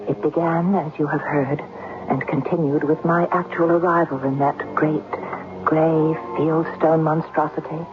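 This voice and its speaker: A woman playing a polite, earnest and curious young newcomer in a gothic radio drama, speaking in a careful, proper way. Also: low-pitched. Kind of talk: 1970s radio drama acting and narration. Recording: muffled noisy old radio broadcast.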